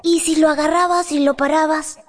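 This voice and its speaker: A woman acting as a small, tender-hearted girl in a radio drama. The voice is extremely high, childlike and pleading, full of worry. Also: steady tone, normal speed, lightly acted. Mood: upset.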